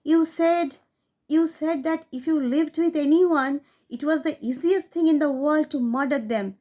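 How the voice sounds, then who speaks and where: A woman's voice: high voice, measured pace, warm tone, voice pushed up. A woman doing an urgent, scheming man's voice. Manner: eager, urgent, insistent whispering. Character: scheming man urging his brother to a murder.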